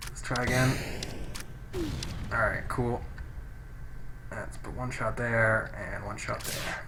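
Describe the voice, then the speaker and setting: A man's voice: mid-range voice, fairly even intonation, slow pace, background noise. A man giving casual let's play commentary, thinking aloud and reading game text, on a poor-quality microphone. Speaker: gaming let's play host. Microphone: low-quality microphone.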